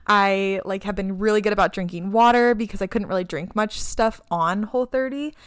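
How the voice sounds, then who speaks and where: A woman's voice: medium pitch, brisk, clean tone, no echo, studio quality. A woman in her mid twenties co-hosting a podcast, chatting in an upbeat way with lots of "like" fillers. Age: 20s. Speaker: podcast co-host. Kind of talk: casual chat.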